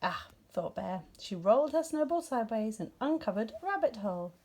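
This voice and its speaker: Female children's story narrator: expressive, animated pitch, warm and rounded tone. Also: brisk, a little hiss or hum. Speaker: storybook reader.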